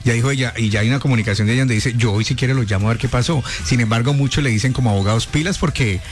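A man's voice: mid-range voice, very fast, soft background music. A man hosting a radio show, chatting and teasing in a loose, joking way. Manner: chatty, teasing, talks over others. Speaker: radio host.

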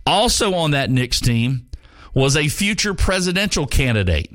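Male sports radio host, 50s, lively sing-song delivery, chatty and jokey, dry close sound. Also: on the high side, moderate pace, dry recording. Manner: rambling, jokey, many restarts. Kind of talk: casual sports talk monologue.

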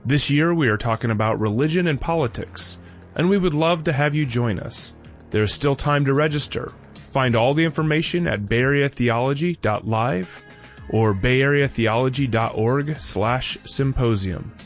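A man's voice: medium pitch, moderate pace, soft background music. A man hosting a radio show, reading a scripted introduction.

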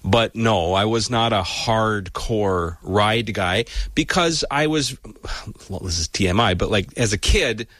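Brief: Midwestern male columnist, easygoing and wry, lowish voice, dry studio mic. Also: a little slow, a bit bright, no room sound. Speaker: radio guest, columnist. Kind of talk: casual radio conversation. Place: radio studio.

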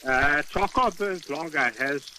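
A man with an Italian accent calling in as a quiz contestant, animated and enthusiastic. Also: a little high, measured pace, a little hiss or hum. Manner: animated, enthusiastic, broken phrasing.

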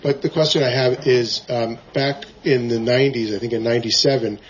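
A soft-spoken man from the audience puts a question to the author. He hesitates often, stringing together fillers and restarts. His voice sits in an ordinary middle pitch, and the recording is dull and lo-fi. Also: steady tone, a little fast, a crowd in the background. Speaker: audience member asking a question. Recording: dull lo-fi live event recording.

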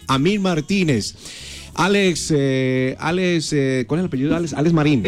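A Colombian man hosting a morning radio show, teasing his co-hosts and urging listeners to send voice notes while he has a cold. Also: on the high side. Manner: playful, teasing, keeps calling for listener input. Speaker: radio host. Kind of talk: lively morning radio banter.

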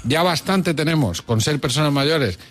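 A man's voice: a little high, normal speed. Wry grumbling man in his fifties. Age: 50s. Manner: wry, grumbling, muses in long deadpan riffs. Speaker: podcast co-host. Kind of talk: comic chat with a co-host.

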